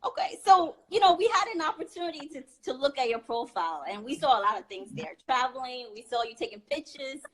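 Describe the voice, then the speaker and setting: A woman's voice: sits high, lively intonation, brisk, hardly any pauses, fairly bright tone, low-quality recording. A woman hosting an online interview, friendly and laughing often as she asks questions. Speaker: host, interviewer.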